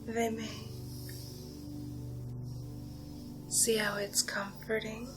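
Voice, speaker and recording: A seductive, menacing vampire woman, acted by a female performer, murmurs in a breathy half-whisper. Her delivery is extremely slow and hypnotic, teasing her prey in horror ASMR style. Her mid-pitched voice sits over prominent background music. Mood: seductive menace.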